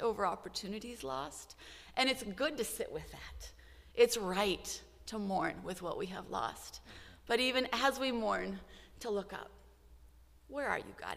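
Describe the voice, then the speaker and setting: A woman's voice: medium pitch, gravelly, fairly bright tone, long reverb tail. A woman in her forties, a missionary telling a heartfelt story of her work to supporters. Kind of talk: church missions update.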